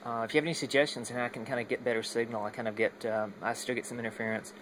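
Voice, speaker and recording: A man's voice: mid-range voice, little pitch movement, rapid-fire, background noise. A man casually demonstrating his radio gear in an unscripted, rambling way, often hesitating and trailing off mid-thought. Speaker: amateur radio hobbyist demonstrating equipment.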